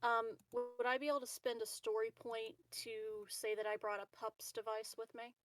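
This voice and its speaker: A woman's voice: fairly high pitch, flat delivery, normal speed, a bit bright. A woman playing a tabletop game, chatting casually and a little hesitantly as she searches for words.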